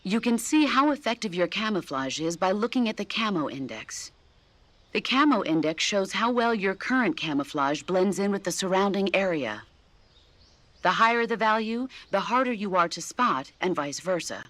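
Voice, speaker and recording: An actress playing a composed military support officer, giving measured, instructive advice in video game tutorial dialogue. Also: female voice, medium pitch, expressive, fairly bright tone, no room sound, natural voice. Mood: calm, instructive. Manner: measured, instructive, mildly scolding.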